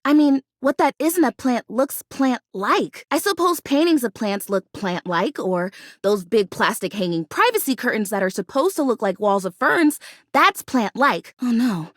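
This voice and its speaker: A woman's voice: a little high, no room sound, clean studio sound. A woman voicing a bubbly, scatterbrained young plastic houseplant in a video game, chatty and gushing. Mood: chatty, awkward. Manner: gushing, chatty, easily distracted, exclamatory. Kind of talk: animated video game character acting.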